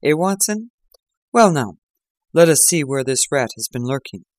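A man voicing a calm, assured gentleman detective with a dryly amused manner. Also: fairly high pitch, moderate pace, no echo, clean studio sound.

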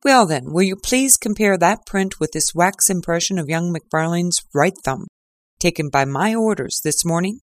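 A reader voicing a pompous, triumphant male police inspector who talks loudly and gloats. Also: medium pitch, expressive, normal speed, no echo, clean studio sound, close to an everyday voice. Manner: loud, gloating, crowing.

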